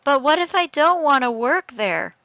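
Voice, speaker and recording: Woman acting a bright-voiced, emotional teenage daughter. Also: medium pitch, expressive, no room sound, highs cut off, natural voice. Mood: angry, hurt. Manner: halting, pleading, rising to heated outbursts. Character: grieving, defiant teenage daughter, hurt and emotional. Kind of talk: amateur dramatic reading of a story.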